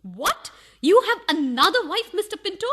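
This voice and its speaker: A woman's voice: very high voice, big pitch swings, normal speed, fairly bright tone, slight background noise, full character voice. A woman playing a prim, easily scandalised housewife in a farcical radio play, pointed and theatrical. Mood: baffled, scandalised.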